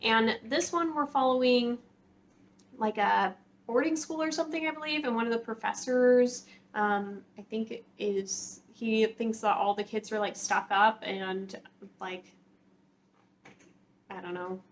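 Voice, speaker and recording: A woman's voice: a little high, unhurried, a little hiss or hum. A woman hosting a casual, chatty book vlog, talking informally to viewers with rambling, hedging asides. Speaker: book vlogger, channel host.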